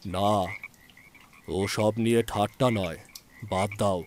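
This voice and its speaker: Man playing a grave, slow-spoken old physician. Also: on the low side, fairly even intonation, a little hiss or hum. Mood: grave, touchy. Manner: solemn, deliberate storytelling. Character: grave, touchy old village physician recounting his past. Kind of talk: dramatized ghost story narration.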